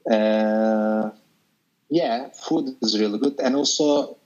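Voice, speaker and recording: A man's voice: lowish voice, drawn-out words, darkish tone. Turkish-accented young man on a muffled call. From Turkey. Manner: hesitant, with frequent uh and light laughs. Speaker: interview guest. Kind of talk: casual video-call chat.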